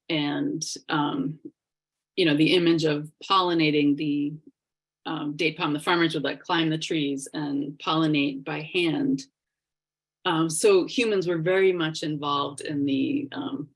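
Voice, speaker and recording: A woman with a low voice presents a class over a video call. She talks in a thoughtful, unhurried way and fills her pauses with ums. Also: husky. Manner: thoughtful, hesitant with many fillers.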